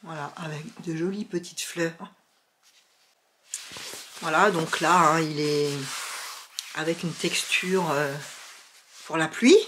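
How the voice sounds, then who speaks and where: A woman's voice: low voice, steady tone, slow, pauses often, noisy background. Chatty woman with a cold, echoey room. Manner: chatty, hesitant, many fillers and restarts. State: has a cold, sniffling. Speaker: sewing and knitting YouTuber. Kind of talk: casual chat to camera.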